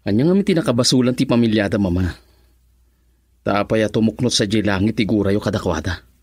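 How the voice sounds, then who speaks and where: A man's voice: on the low side, a little fast, a little mellow, husky, no room sound, clean studio sound. A Filipino man playing a young, lovestruck and defiant son in a radio drama. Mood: pleading, defiant.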